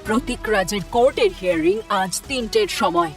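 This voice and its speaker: A woman's voice: a little high, sing-song, fairly quick, over music. A woman playing a taunting, threatening hacker villain in a cartoon crime drama, with a mock-friendly, teasing menace. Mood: menacing. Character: taunting car-hacking villain making cold threats. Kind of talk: animated crime drama acting.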